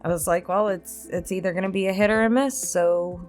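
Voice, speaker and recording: A woman with a fairly low voice hosts a casual chat for her audience. She talks informally and feels her way through her phrasing, with music faintly behind her. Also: steady tone, a little fast, darkish tone. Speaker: host, roleplay ASMR voice actor. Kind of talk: casual chat to viewers in a pre-recorded Q&A.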